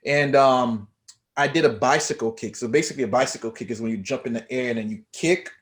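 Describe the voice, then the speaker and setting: A man from Haiti speaks as a guest on a podcast and tells his story in a relaxed, unhurried way. He talks in an easy manner with frequent "um" and "you know" fillers. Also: medium pitch. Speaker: guest chiropractor. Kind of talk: casual interview.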